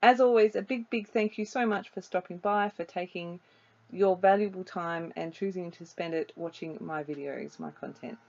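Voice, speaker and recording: Woman a bit croaky today, low-ish voice, chatty craft voiceover with lots of ums, dull lo-fi sound. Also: moderate pace. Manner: chatty, relaxed, with frequent ums. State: slightly croaky voice. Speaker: crafting video creator. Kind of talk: casual voice-over narration of a craft process.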